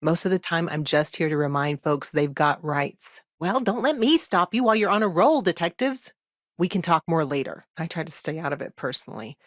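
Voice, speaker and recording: A woman playing a principled, earnest small-town lawyer in a sci-fi video game, speaking in a measured, articulate, conversational way. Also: deep voice, very animated, no room sound, clean studio sound. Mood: warm, amused. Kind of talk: video game character dialogue.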